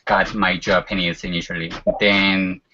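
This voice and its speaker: A man's voice: low-pitched, flat delivery, measured pace, bright, forceful tone, slight background noise. A man in his forties whose first language is Korean, chatting casually as a guest and leaning on fillers like "you know". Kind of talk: casual chat. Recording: dull lo-fi sound.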